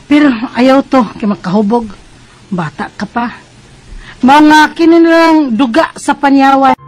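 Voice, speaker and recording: A Cebuano mother in a radio drama, her voice high-pitched. She is insistent and explains things firmly, with a scolding edge toward her young daughter. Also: a little slow, darkish tone, low-quality recording. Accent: Cebuano Filipino. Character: insistent mother of a teenage daughter, pushing bitter remedy. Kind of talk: Bisaya radio drama acting.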